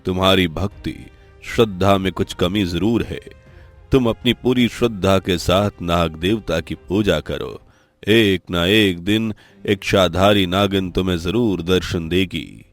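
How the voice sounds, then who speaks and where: An actor playing a solemn holy sage in a cartoon moral story, instructing with calm authority. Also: male voice, low voice, a little slow, soft background music. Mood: solemn, reassuring.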